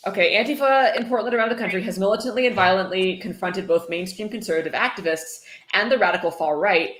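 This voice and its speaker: A woman's voice: medium pitch, fast talker, a bit bright. A woman with a dry, sarcastic manner, reading a book aloud and adding casual commentary. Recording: roomy echo, faint noise.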